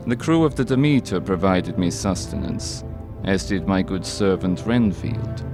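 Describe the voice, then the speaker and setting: A man's voice: low-pitched, measured pace. An actor playing a suave, menacing male vampire count, formal and taunting, in an audio drama. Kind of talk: theatrical audio drama acting. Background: music.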